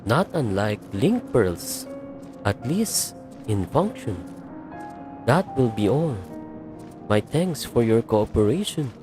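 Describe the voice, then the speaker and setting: A man playing a solemn, courteous adventurer in a video game, speaking formally and deliberately. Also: medium pitch, sing-song, over music. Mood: courteous, resolved. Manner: formal, deliberate, archaic politeness.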